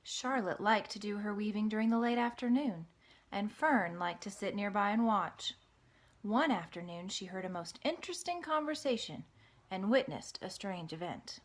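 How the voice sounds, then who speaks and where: A woman narrating a children's storybook in an expressive read-aloud style. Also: medium pitch, normal speed. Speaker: storybook reader.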